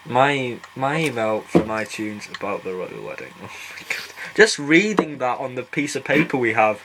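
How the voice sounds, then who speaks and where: A man's voice: mid-range voice, expressive, normal speed. A young man, a podcast co-host who cracks jokes. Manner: jokey, wisecracking. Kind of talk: casual chat. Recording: faint hiss and clicks.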